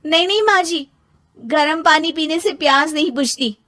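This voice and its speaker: A woman voicing an anxious, put-upon young mother in an animated moral story, protesting in a plaintive way. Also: high-pitched, normal speed, pitched above the performer's own voice.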